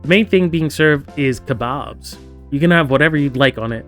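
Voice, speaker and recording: Man, game streamer, casual story reading, playful asides, soft plucked guitar behind. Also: fairly high pitch, moderate pace. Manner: playful, chatty asides to viewers. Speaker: streamer reading a visual novel aloud.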